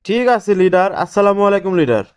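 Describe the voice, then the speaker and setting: A scheming local party organizer from a cartoon, voiced by a man. He has a fairly high, clear voice and speaks colloquial Bangladeshi in a pushy way. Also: expressive, quick pace. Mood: ingratiating. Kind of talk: cartoon dialogue.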